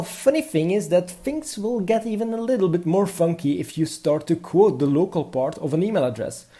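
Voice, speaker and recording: A young man with a Belgian accent delivers a prepared conference talk in a mellow, warm voice. His pitch sits somewhat high for a man. He explains step by step and often pauses on "uh" fillers. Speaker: conference speaker.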